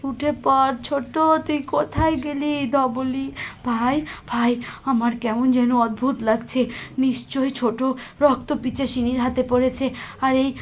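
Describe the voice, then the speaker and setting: A woman's voice: high voice, steady tone, moderate pace, slight background noise. A woman voicing a distraught, anxious village sister-in-law who calls out and laments repetitively in a puppet cartoon. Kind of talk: Bengali puppet cartoon voice acting.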